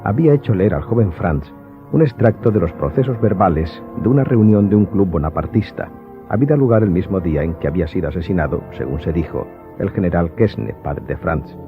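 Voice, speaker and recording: A man's voice: low voice, expressive, a little fast. A man narrating a scripted audiobook as the storyteller. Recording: narrow phone-like sound, faint music behind.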